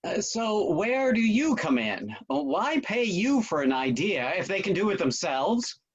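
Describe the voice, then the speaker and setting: A man playing a cautious but kindly father of a grown son in a play reading, with hesitant, expressive delivery. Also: high voice, a little slow, slightly rough, heavily acted. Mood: doubtful. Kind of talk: virtual table read of a stage comedy-drama. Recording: dull, lo-fi call audio.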